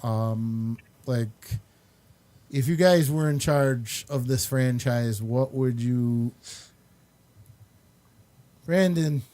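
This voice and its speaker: A male host talks at a slow, easy pace in a dark, mellow voice. He rambles casually, stopping often with ums and uhs as he chats with his panel. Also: medium pitch. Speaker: show host.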